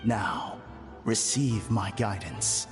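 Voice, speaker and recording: An actor playing a calm male spiritual healer in a video game, speaking solemnly and formally. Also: lowish voice, drawn-out words. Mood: solemn, assured. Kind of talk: scripted video game dialogue. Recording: long reverb, music behind.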